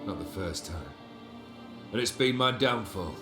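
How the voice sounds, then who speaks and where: Slow, brooding, deep-voiced nobleman. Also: lively intonation, music in the background. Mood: bitter. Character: brooding, proud, regretful nobleman. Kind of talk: video game voice acting.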